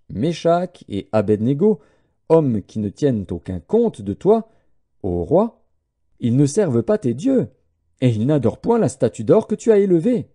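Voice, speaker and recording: A man's voice: medium pitch, mellow. A man voicing a formal courtier addressing a king in a declamatory scripture audiobook reading. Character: formal Chaldean courtier accusing others before a king.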